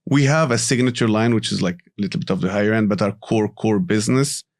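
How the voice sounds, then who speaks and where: Guest: man from Morocco, lowish voice, relaxed explainer, casual chatty delivery. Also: fast. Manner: relaxed, explanatory, tags sentences with "right?" and "you know". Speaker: guest, company CEO. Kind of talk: casual interview talk.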